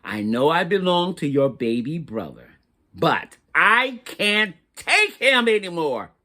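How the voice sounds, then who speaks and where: A man's voice: a little high, sing-song, slow pace, bright, forceful tone, voice pushed up, exaggerated voice. A man voicing a desperate, exasperated chunky crayon in a storybook, melodramatic and pleading.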